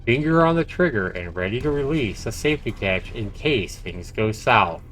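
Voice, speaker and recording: A man's voice: medium pitch, expressive, moderate pace, a bit bright. A man livestreaming a game, reading its narration aloud dramatically with casual, profane asides. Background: faint game music.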